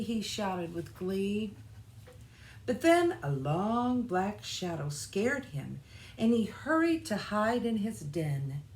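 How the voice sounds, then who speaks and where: Slow, low-pitched woman reading a storybook. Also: slight background noise. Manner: expressive, deliberate phrasing. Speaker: children's music director reading a storybook. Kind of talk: scripted children's storybook narration.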